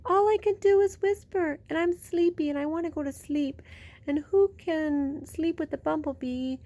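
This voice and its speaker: Woman voicing a sleepy little whispering boy rabbit, high-pitched. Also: normal speed, warm tone. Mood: sleepy, worried. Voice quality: breathy whisper. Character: sleepy little male rabbit who can only whisper.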